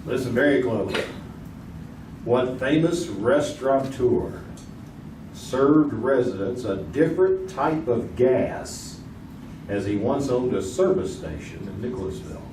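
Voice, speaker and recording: A man's voice: medium pitch, lively intonation, a little slow, distant mic. A man hosting a live comedic quiz show, reading questions and bantering jokingly with contestants. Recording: echoey, noisy hall from off mic.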